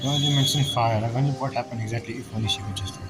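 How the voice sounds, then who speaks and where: Guy doing game commentary, regular pitch, thinking out loud kinda haltingly, game music behind him. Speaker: gaming streamer. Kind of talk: game commentary, reacting and narrating.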